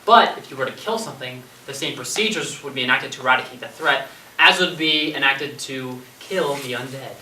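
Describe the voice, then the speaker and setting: A man's voice: mid-range voice, fairly quick, pushed and bright. A man acting in an amateur stage play as an exasperated, sarcastic survivor who fears he is turning zombie, defensive and wordy. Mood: earnest, exasperated. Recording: echoey stage recording from far off, noisy.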